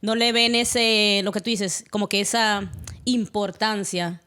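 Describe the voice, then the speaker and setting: A woman's voice: mid-range voice, steady tone, unhurried, sharp tone, clean tone. A woman with a Dominican Spanish accent, talking casually as a panelist in a group podcast chat. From Dominican Republic.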